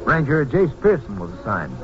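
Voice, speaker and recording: An actor as a brisk, formal male narrator of a scripted fifties radio crime drama. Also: medium pitch, big pitch swings, natural voice. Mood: brisk, serious. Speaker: announcer and narrator. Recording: muffled 1950s radio, loud music behind.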